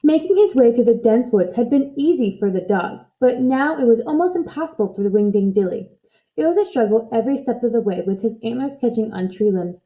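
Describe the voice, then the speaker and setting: A woman reading a children's story aloud in an expressive narrating style. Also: a little high, phone-quality sound. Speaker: storybook reader.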